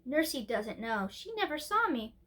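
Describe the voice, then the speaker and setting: Woman playing a gentle, mysterious very old grandmother queen. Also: high-pitched, very animated, normal speed, heavily acted. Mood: patient, kindly.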